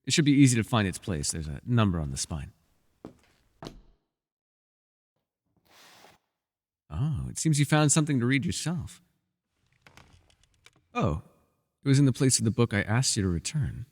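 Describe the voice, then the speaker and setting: A man's voice: mid-range voice, big pitch swings, a little fast, long pauses, darkish tone, in a large hall. A man playing a bookish, witty but guarded elf in a fantasy ASMR roleplay, speaking in a wry, wordy, formal way. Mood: teasing, distracted. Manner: wry, wordy and formal, flustered when pressed.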